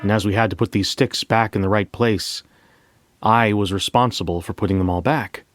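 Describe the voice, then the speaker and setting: A man narrating a scripted first-person story as a bank manager, in a measured, reflective way with deliberate pauses. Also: lowish voice, steady tone. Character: bank manager recounting a memory heist.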